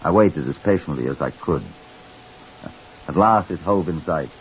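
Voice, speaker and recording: A man narrating a mystery in the first person as the detective, with dry, measured storytelling in old-time radio style. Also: a bass voice, animated. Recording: muffled old radio recording with hiss.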